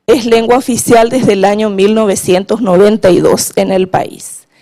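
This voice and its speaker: A woman from Paraguay with a Paraguayan Spanish accent and Guaraní as her first language, giving a measured, formal conference speech as a government language-policy official. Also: fairly high pitch. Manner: measured, formal, steady delivery.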